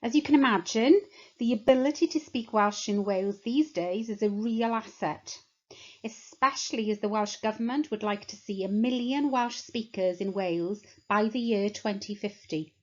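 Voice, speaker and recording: A woman teacher giving a measured talk that explains a course to prospective students. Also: medium pitch, darkish tone, lo-fi sound. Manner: measured, explanatory, lists points slowly.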